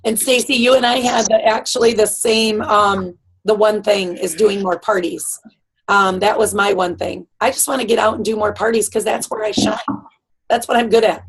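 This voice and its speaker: A woman hosting a video call, encouraging and emphatic, her voice raspy. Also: mid-range voice, steady tone, normal speed, low-quality recording. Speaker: team leader hosting the call. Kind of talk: casual motivational chat. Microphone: computer mic on a video call.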